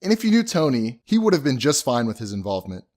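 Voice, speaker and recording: An actor playing an earnest, thrifty husband who explains and justifies himself in an audio drama. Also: male voice, medium pitch, very animated, fast talker, a little mellow. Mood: defensive, earnest. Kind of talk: acted audio drama dialogue.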